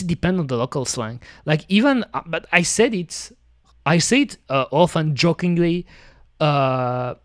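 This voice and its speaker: Lively Belgian-French-accented man chatting slowly. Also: a little high, no room sound, clean studio sound. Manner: rambling, many fillers. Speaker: streamer. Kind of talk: casual chat.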